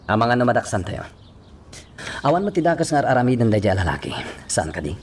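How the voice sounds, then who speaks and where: An actor playing a wary, serious police chief in a radio drama. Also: male voice, mid-range voice, big pitch swings, quick pace, a little hiss or hum, close to an everyday voice.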